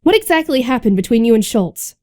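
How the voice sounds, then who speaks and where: Hot-tempered, animated young female soldier. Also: a little high, a little fast. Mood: annoyed, questioning. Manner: impatient, blunt, challenging. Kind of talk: audio drama acting.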